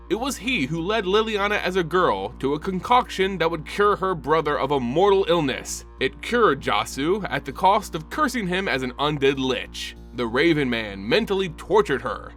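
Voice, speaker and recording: A man with a slightly high voice tells a scripted fantasy story as a channel host. His delivery is measured and dramatic, with lively swings in pitch. Soft music plays behind him. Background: background music.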